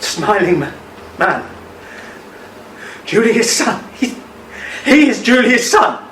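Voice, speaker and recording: An actor playing a guilt-ridden, middle-aged ex-serviceman in a radio play, halting and full of self-reproach. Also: high-pitched, very animated, a bit bright, full character voice. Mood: shocked, anguished. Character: guilt-ridden ex-serviceman husband, injured Cold War test veteran. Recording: roomy, distant mic, faint background noise.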